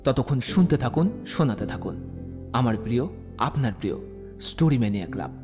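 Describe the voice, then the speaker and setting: A man's voice: mid-range voice, lively intonation, fairly quick. A man narrating and presenting a scripted romantic audio story. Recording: long hall reverb, faint music behind. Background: faint music.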